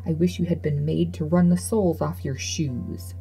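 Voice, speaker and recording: A woman acts out a bad-tempered little storybook dwarf man. He scolds and grumbles in a shrill, creaky snarl, full of scorn and ingratitude. Music plays behind him. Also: steady tone, moderate pace, dark tone. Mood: outraged. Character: ill-tempered, ungrateful little old dwarf man with a beard. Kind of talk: fairy tale storybook reading.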